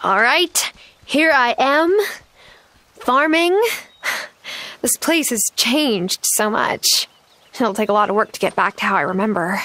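Young female game lead: earnest, plain-spoken, eager, high-pitched. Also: unhurried, long pauses, sharp tone, dry recording. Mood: determined. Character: young woman, earnest, eager granddaughter new to farming. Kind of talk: scripted video game acting.

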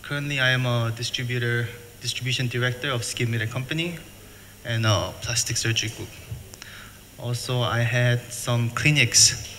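A middle-aged man from the United States presenting at a seminar, speaking plain, halting English and repeating words. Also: mid-range voice, fairly even intonation, pushed and bright. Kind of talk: conference presentation. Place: hotel function room. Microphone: table mic, filmed from afar. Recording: reverberant hall, faint noise.

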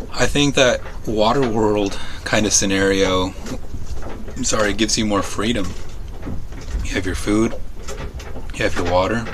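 Guy vlogging from a boat, lowish voice, lots of ums and restarts, engine noise behind. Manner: halting, many ums and restarts. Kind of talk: casual talk to camera.

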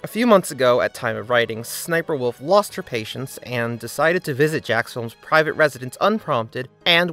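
A man's voice: mid-range voice, a bit bright. A man narrating a scripted video essay, with earnest, critical commentary.

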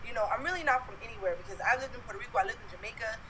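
A young woman from Brooklyn with a New York accent, chatting forcefully as a guest on a phone video call from her bedroom. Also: a little high, very fast, noisy background. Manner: forceful, talks at people. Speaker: interview guest. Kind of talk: casual video-call chat. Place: bedroom at home, indoors.

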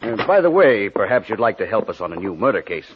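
A shrewd police inspector in an old radio play. He sounds hearty and genial, low-voiced with very varied intonation. The recording is dull and vintage. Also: quick pace, husky, heavily acted. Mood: affable, probing. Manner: hearty, flattering. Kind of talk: old-time radio drama acting.

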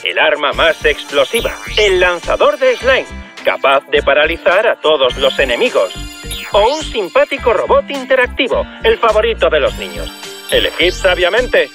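A man's voice: high-pitched, sing-song, moderate pace, music in the background. A man playing an upbeat video game announcer presenting prizes in a promotional style. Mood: showy.

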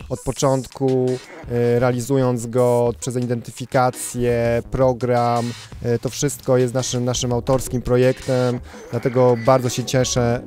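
A man speaking as a festival director in a promotional talk, pausing mid-sentence as he goes. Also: mid-range voice, a little mellow.

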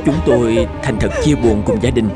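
An actor playing a composed hospital doctor in an animated drama, with a formal, measured manner. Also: male voice, mid-range voice, music in the background, close to an everyday voice. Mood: solemn.

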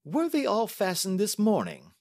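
A man voicing a brisk, astute Victorian gentleman detective with crisp, courteous questioning, in audiobook style. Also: high voice, wildly swooping pitch, voice pushed up, full character voice. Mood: probing. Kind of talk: audiobook character acting.

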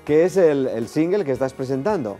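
Mellow-voiced male talk show host. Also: mid-range voice, animated, brisk, faint music behind. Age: middle-aged. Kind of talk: casual studio chat. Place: TV studio.

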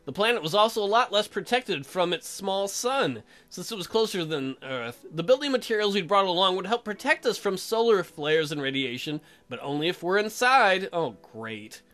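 Animated man doing irreverent game commentary. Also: high voice, normal speed, hardly any pauses. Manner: joking, irreverent. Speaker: let's play host. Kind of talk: game commentary mixed with reading on-screen text aloud.

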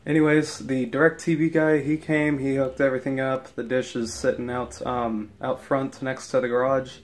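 A man's voice: medium pitch, flat delivery, moderate pace. Congested young man rambling in vlog. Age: young adult. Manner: rambling, many ums and restarts. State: congested from allergies. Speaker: vlogger. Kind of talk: casual daily vlog. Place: indoors in a house, also outdoors by the house. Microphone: handheld camera at arm's length.

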